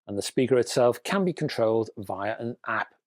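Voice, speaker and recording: A man's voice: mid-range voice, lively intonation, moderate pace, no room sound, clean studio sound. A man presenting product news to viewers in a conversational way, with rhetorical questions and wry asides.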